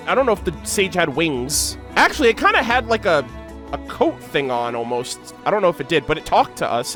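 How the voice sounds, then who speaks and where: American guy streamer, kinda high voice, chatting casually and reacting to game lore, music going behind him. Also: a bit bright. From United States. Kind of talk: casual commentary, reading lore aloud.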